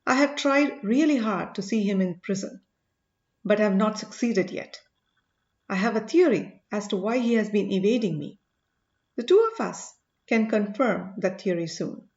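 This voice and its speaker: A woman voicing an earnest, commanding male sage in an audiobook, speaking in a measured, emphatic way. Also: medium pitch, lively intonation, darkish tone, reverberant, dull audio, close to an everyday voice. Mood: grave, intent. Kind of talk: audiobook character dialogue.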